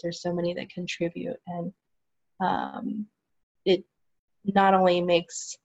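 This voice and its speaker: Gravelly-voiced woman on a dull remote line. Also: fairly low pitch, darkish tone. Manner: earnest, enthusiastic, trailing sentences. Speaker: guest, support group organizer. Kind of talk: casual interview chat.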